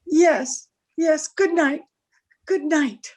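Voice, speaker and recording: A woman playing a high-voiced, slow-spoken mystery woman. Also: a little mellow. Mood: frightened. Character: mysterious, frightened, refined married woman. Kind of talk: old-time radio crime drama acting.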